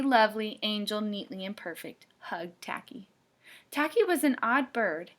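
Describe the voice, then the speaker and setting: A woman reading a picture book aloud to children, playful and dramatic, with expressive narration. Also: fairly high pitch. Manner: playful, dramatic, with hushed asides. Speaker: storybook reader for children.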